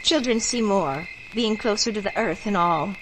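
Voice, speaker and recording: A somber bayou local played by a woman speaks in a grave, folksy, cautionary way, as in a horror radio play. Her pitch is middling, and crickets and frogs sound clearly around her in the night. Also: normal speed, a bit bright, natural voice, low-quality recording. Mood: grave warning. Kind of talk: horror radio drama acting. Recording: clear background noise of night insects.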